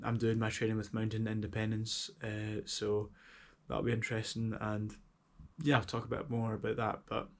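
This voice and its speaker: Relaxed young Scottish man, flat voice. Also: fairly low pitch, gravelly. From Scotland. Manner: relaxed, many ums and restarts. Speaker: vlogger, outdoor instructor. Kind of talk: casual vlog talk.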